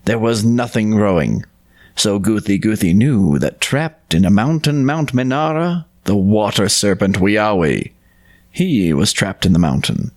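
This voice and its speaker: A man co-hosting a podcast, discussing and narrating folk tales in an earnest, unhurried way. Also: lowish voice, lively intonation, darkish tone. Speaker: podcast co-host and storyteller.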